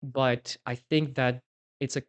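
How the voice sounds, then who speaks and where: A man's voice: medium pitch, flat delivery, slow. Reflective young man from Poland. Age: 20s. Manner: reflective, thinking aloud with many restarts. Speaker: podcast co-host, interviewee. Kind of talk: casual podcast conversation.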